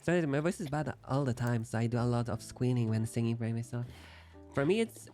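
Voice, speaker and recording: A man's voice: medium pitch, a little fast, dark tone, faint music behind. A young man streaming live, chatting casually while gaming in a playful, rambling, self-deprecating way.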